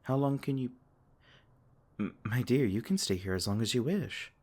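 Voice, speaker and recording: A man playing a gentle, protective male fae king in a comforting ASMR roleplay, soft-spoken and reassuring. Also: mid-range voice, animated, normal speed.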